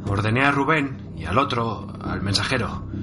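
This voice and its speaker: A man's voice: mid-range voice, moderate pace, over music, lightly acted. An actor playing an agitated, commanding male survivor recounting a siege in a radio drama. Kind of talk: radio drama, testimony narration.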